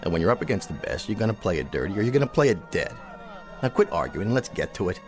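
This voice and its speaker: An actor playing a vain, pompous TV cowboy star who whines and rants theatrically. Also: male voice, on the low side, sing-song, quick pace, soft background music. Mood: scornful. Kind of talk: radio drama acting.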